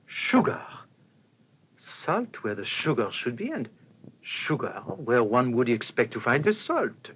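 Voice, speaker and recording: An actor playing a shrewd, self-assured police detective in a radio crime drama, with a theatrical delivery. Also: male voice, medium pitch, wildly swooping pitch, a little slow, fairly bright tone. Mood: puzzled musing. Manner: theatrical, musing then demanding. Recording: dull old radio recording, faint hiss.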